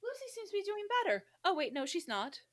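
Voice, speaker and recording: A woman's voice: very high-pitched, sing-song, fast talker. A woman co-hosting a podcast, chatting casually as a fan, with theatrical mock indignation and playful sarcasm. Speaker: podcast co-host. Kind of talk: casual fan chat about a classic novel.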